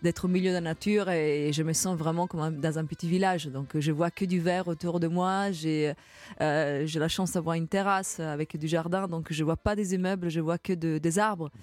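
A woman from Sardinia with an Italian accent, speaking as a guest in a relaxed interview and searching for words with frequent restarts. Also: low-pitched, moderate pace, no echo. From Sardinia, Italy. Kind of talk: casual interview chat.

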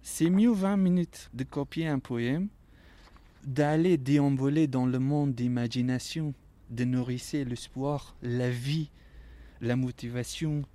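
Young man, French not his mother tongue, emotional and reflective, halting delivery. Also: mid-range voice, dark tone, dry recording. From Afghanistan. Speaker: interviewee. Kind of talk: personal testimony.

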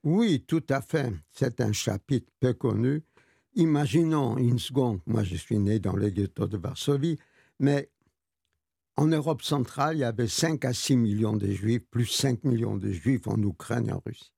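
Gravelly husky older man telling a story. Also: mid-range voice, measured pace, no room sound, clean studio sound. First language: Yiddish. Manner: animated storyteller, repeats words. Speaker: author guest. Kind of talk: storytelling interview answers.

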